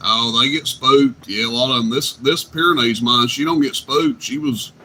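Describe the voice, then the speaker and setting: A man hosting a CB radio night, chatting casually and telling drawn-out stories. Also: mid-range voice, fairly even intonation, bright, forceful tone, slight background noise, low-quality recording. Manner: drawling, easygoing storyteller. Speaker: CB radio host.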